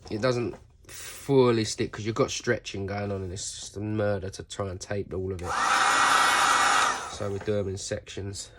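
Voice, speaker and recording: A man's voice: fairly low pitch, steady tone, moderate pace, heavy background noise. A man with a stuffy nose from a cold, casually rambling through a how-to tutorial and swearing now and then. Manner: rambling, swears casually, corrects himself mid-sentence. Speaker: sneaker customizer.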